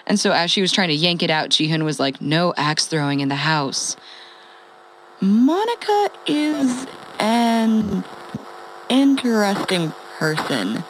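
An actress playing a wry, sweary former radio host who survives the apocalypse, telling her day in a halting, conversational audio-drama monologue. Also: lowish voice, big pitch swings, slight background noise, rough recording. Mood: wry, amused. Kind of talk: conversational comedic monologue, as a ham radio log.

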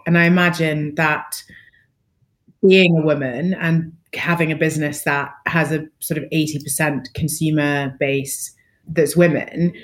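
A woman hosting a business podcast, asking questions and affirming her guest's answers. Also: sits low. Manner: engaged, affirming interviewer. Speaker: podcast host. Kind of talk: interview questions.

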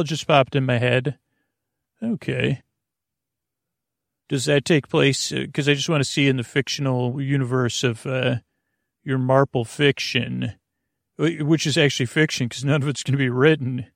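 A man hosting a sleep podcast, rambling off-topic in soothing, creaky tones with many restarts. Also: mid-range voice, dry recording, clean studio sound. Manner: meandering, off-topic, self-interrupting. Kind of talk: rambling bedtime podcast intro.

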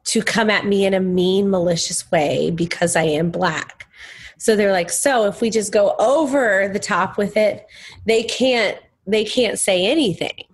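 Young woman guest from Texas, mid-pitched, candid and emphatic. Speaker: guest musician. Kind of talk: conversational interview.

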